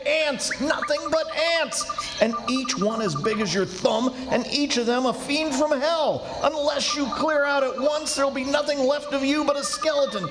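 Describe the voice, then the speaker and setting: A man's voice: extremely high pitch, noisy background. A man playing an urgent, alarmed colonial district commissioner in 1940s-style radio drama, with grave, emphatic delivery. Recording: live stage show with music.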